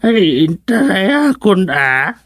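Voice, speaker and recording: An actor playing a senile, stubborn elderly grandparent in an Ilocano radio comedy, muttering in drawn-out, hesitant phrases with an Ilocano accent. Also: medium pitch, very animated, dry recording, clean studio sound. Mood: stubborn, boastful. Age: older. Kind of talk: Ilocano radio drama acting.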